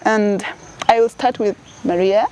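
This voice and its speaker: A young Tanzanian woman hosts a church channel interview, greeting her viewers directly and moving easily between languages. Her voice sits at a middle pitch, and faint background noise runs under it. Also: fairly even intonation, a little slow, dark tone. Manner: welcoming, addresses viewers directly. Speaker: diocese communications officer and host. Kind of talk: hosting an interview, switching between Swahili and English.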